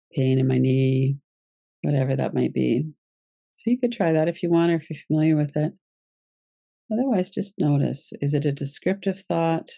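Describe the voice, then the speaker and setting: A woman guiding a yoga nidra meditation as its teacher, giving gentle, unhurried instructions with pauses. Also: rumbling low, gravelly, dry recording.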